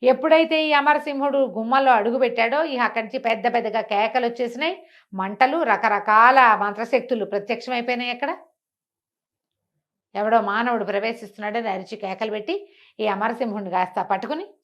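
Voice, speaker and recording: A woman's voice: fairly high pitch, brisk. Woman telling a story conversationally. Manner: animated retelling with rhetorical questions and repetition. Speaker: storyteller on a web show. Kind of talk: conversational oral storytelling of a fantasy folk tale.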